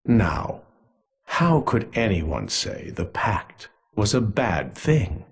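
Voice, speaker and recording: Husky, sinister conspirator villain. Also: male voice, lowish voice, very animated, exaggerated voice. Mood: sinister gloating. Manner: relishing, theatrical, deliberate. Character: sinister, gleefully cruel conspirator man, smugly menacing. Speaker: villain character in a video game. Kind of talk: scripted villain monologue.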